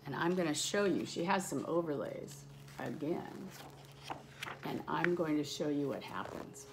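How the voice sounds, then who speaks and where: Slow-paced woman reading aloud. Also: low voice, creaky, noisy background. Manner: demonstrative, speaking directly to young listeners. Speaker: teacher reading a picture book aloud. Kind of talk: read-aloud of a rhyming children's book with casual explaining asides.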